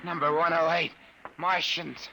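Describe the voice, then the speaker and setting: A jittery private eye in a vintage 1950s radio thriller. His voice is a little high and strikingly sharp, with a halting, agitated delivery. There is faint noise on the old recording. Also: little pitch movement, creaky, heavily acted, rough recording. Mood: desperate pleading. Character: nervous, drugged private detective, desperate and pleading. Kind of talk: 1950s radio drama acting.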